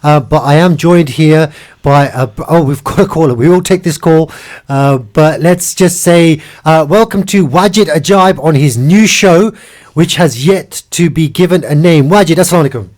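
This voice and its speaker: Upbeat radio host, high voice. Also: male voice. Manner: lively, hurried, upbeat presenting. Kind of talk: live community radio chat. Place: radio studio.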